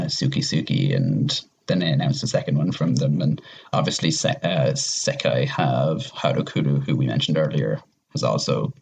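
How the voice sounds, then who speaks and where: A man's voice: rumbling low, vocal fry, slightly rough. A man chatting casually as a podcast guest, talking in a rambling, conversational way. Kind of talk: casual chat.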